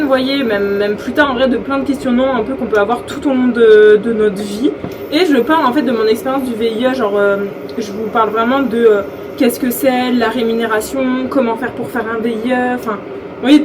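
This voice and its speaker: A woman's voice: on the high side, noisy background. Chatty young French woman vlogging. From France. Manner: chatty, enthusiastic, many fillers and restarts. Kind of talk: casual vlog chat to camera. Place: home kitchen.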